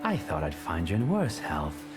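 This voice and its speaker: An actor playing a menacing, smooth-talking police chief in a dramatic video game, speaking in a formal, taunting, measured way. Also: male voice, low-pitched, huge pitch swings. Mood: stern, composed. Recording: loud music under the voice.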